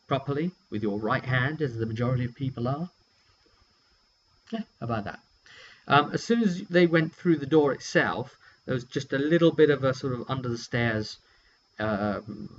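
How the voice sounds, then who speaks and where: British man, dull lo-fi recording. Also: mid-range voice. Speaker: tabletop game master recapping sessions. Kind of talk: casual vlog storytelling. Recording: dull lo-fi sound with faint hum.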